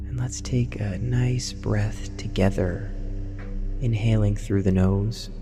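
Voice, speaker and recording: Male meditation guide for sleep: very slow and soothing, long pauses, clear music behind. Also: lowish voice, steady tone, in a large hall.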